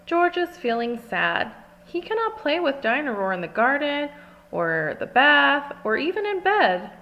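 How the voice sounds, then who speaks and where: A woman's voice: sits high, very animated, unhurried, lo-fi sound. A woman reading a children's storybook aloud, expressively and carefully phrased for small children. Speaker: storybook reader. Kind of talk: scripted children's read-aloud.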